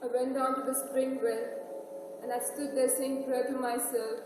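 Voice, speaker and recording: A woman playing a grief-stricken old mother, speaking in a mournful, deliberate, lamenting stage-play manner. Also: high voice, flat delivery, noisy background, natural voice. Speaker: stage actor. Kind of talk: college one-act stage play, declaimed. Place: stage in a large hall. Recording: echoey hall from far off, music behind.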